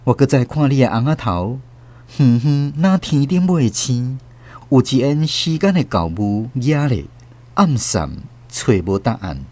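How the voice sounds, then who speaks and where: An actor playing a wistful older emigrant recalling lost love, narrating a radio drama reflectively with a Taiwanese accent. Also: male voice, mid-range voice, expressive, a little slow, mellow, lightly acted. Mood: regretful longing.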